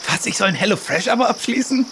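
A man's voice: high-pitched, lively intonation, fast talker, very noisy. A man playing along in an improvised adventure audio play, with casual, exclamatory banter.

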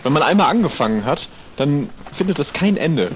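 A man's voice: fairly high pitch, sing-song, brisk, a little hiss or hum. A man playing a put-upon, self-pitying pirate deck scrubber in an improvised audio play. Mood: dismayed. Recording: music behind the voice.

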